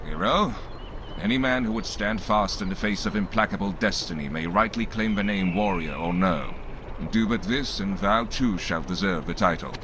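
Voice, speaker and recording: A man's voice: low-pitched, moderate pace, noisy background, lightly acted. A man acting a solemn sage of prophecy in a game fan dub, grave and declamatory in an archaic manner. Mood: grave, prophetic.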